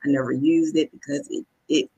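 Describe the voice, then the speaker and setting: Low-pitched woman telling a personal story. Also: fairly even intonation, brisk, gravelly, warm tone, husky, rough recording. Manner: conversational, backtracking, acts out quoted dialogue. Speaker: storytelling vlogger.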